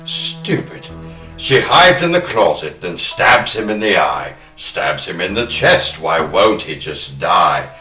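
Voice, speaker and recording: Deep-voiced male podcast host, bright and forceful. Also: very animated, slow. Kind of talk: scripted narration of a film plot and round-table film talk. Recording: roomy echo, faint music.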